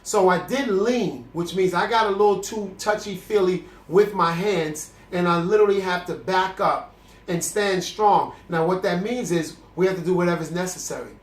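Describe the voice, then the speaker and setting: A man's voice: high-pitched, moderate pace. Congested earnest man in an echoey room. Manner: earnest, preacherly, with frequent restarts. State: congested, with a cough. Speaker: host of a celibacy video series. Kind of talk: candid faith talk to camera.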